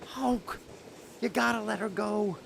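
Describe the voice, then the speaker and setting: An actor playing a desperate, guilt-ridden middle-aged father and professor in an audio drama, halting and pleading. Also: sits high, steady tone, vocal fry, a bit bright, a little hiss or hum, heavily acted.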